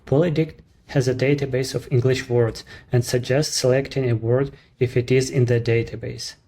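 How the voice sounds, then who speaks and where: A man with a Ukrainian accent, a native Ukrainian speaker, walking through a software demo in English in a measured, explanatory way. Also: mid-range voice, fairly even intonation. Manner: measured, explanatory, slightly non-native phrasing. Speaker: app creator presenting his service. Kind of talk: screen-recorded software walkthrough.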